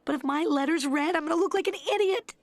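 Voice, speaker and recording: A woman playing an excitable, scheming young woman in a cartoon, emphatic and pleading. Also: high voice, fast, no room sound, lightly acted. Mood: defensive.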